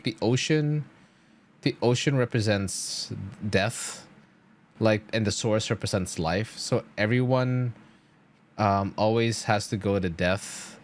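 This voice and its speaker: Low-voiced man streaming a game, casually. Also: a little hiss or hum. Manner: rambling, informal, slangy. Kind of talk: casual live gameplay commentary.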